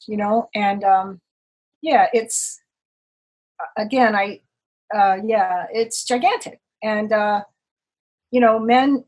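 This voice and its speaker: A woman guest on a podcast, talking thoughtfully and haltingly, often restarting as she searches for words. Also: mid-range voice, darkish tone, low-quality recording. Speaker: guest, poker writer. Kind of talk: podcast interview, reflective.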